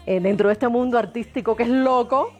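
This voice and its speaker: A woman with a Cuban accent, a singer talking as a guest in an interview, warm and effusive, laughing often. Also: a little high, brisk, faint music behind. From Cuba. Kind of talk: interview chat.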